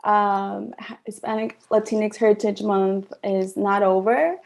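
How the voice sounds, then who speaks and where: Woman moderator, 20s, upbeat with fillers, gravelly edge, mellow tone, video call on earphones. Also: medium pitch, fairly even intonation, slow pace.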